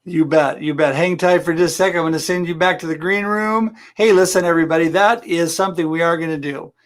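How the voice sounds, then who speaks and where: A man's voice: on the high side, steady tone, fast. A man hosting a live show, talking in a friendly, upbeat way with his guest and viewers. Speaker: host. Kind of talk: casual live-stream chat.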